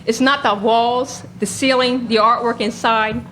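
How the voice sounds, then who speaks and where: A woman gives composed, prepared remarks in a fairly high voice. There is faint ambient noise in the recording. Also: fairly even intonation, a little slow, fairly bright tone, rough recording.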